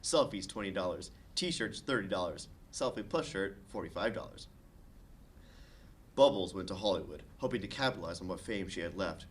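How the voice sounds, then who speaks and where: Low, lively male screenplay narrator. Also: normal speed. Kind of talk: reading screenplay stage directions.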